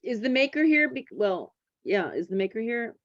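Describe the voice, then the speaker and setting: A woman's voice: on the high side, big pitch swings, normal speed. A woman hosting a club meeting on a video call, chatty and playful. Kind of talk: casual chat. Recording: dull video call audio.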